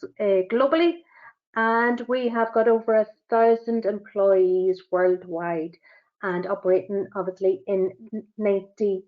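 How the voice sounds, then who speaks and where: A middle-aged woman with a Northern Irish accent presenting a business webinar, talking quickly with frequent fillers. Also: mid-range voice. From Northern Ireland. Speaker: sustainability assessor and webinar presenter. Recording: dull, lo-fi webinar audio.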